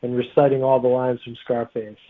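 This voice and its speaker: A man talks casually as a guest author in an interview. His voice is medium in pitch and sounds narrow and tinny, as if coming down a phone line. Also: flat delivery, fairly quick.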